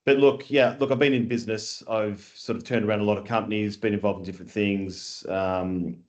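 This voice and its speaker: A lower-voiced man with an Australian accent talks through an interview, animated and a little rambling. He is full of fillers and restarts, and quick to circle back on his point. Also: lo-fi sound. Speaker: guest, industry scheme chief executive. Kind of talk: casual conversational interview.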